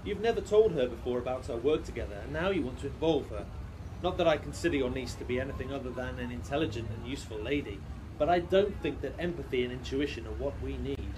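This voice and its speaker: An actor playing a self-assured Victorian gentleman in a radio play, speaking formally with a dry, wry manner. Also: mid-range voice, animated, fast, close to an everyday voice, rough recording. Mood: uneasy. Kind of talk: steampunk radio play acting. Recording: clear background noise.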